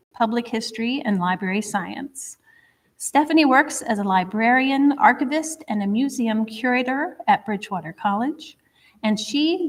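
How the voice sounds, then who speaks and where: A woman hosting a history society talk, chatty and upbeat as she makes announcements and introduces the speaker to a live audience. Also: fairly high pitch. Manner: chatty, upbeat, a little rambling. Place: lecture room before an audience. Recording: long hall reverb.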